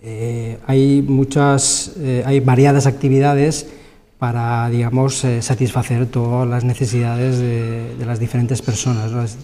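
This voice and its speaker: A man, a guest in a local TV interview, explaining an event program with frequent halting fillers. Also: mid-range voice, little pitch movement, dark tone. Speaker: science week organizer guest. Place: local TV studio. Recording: reverberant studio sound.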